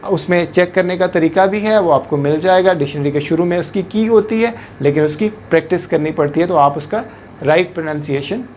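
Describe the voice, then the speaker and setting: Man explaining calmly. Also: on the high side, slight background noise. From Pakistan. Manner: measured, patient explaining, switching often into English words and phrases. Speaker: English language teacher. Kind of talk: instructional talk to camera.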